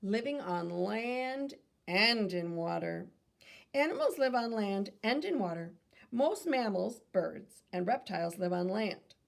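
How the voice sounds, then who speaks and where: Woman, teacherly children's read-aloud, lively intonation, heavy vocal fry, instructive and questioning. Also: mid-range voice, measured pace. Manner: instructive, posing questions to young listeners.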